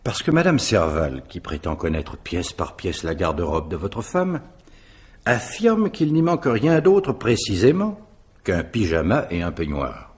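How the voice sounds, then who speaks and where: An actor in a French radio mystery, playing a dry, methodical police commissioner who questions with measured insinuation. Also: male voice, low voice, wildly swooping pitch, a little mellow, slightly rough, exaggerated voice. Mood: probing, dry.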